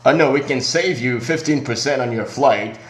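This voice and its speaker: An echoey recording of a man of middling pitch on a prank phone call. He plays an airline agent, delivering polite, scripted patter in a halting way. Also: crowd noise. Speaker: prank caller.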